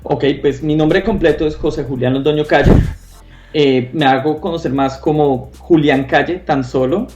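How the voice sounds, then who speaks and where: A man's voice: a little high, fairly even intonation, normal speed, a little mellow, faint music behind. A Colombian man in his thirties speaking casually and enthusiastically as an interview guest, emphatic and often tagging phrases with a short questioning check. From Popayán, southern Colombia. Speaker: interview guest, concept artist.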